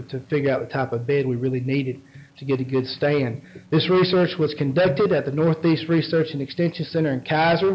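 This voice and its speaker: A nasal, congested man presents research at a conference, stopping often with ums and repeated words. His voice sits a little high for a man. The recording sounds dull and lo-fi. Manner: halting, frequent ums and restarts. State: head cold, nasal. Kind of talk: conference talk with slides. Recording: dull lo-fi audio.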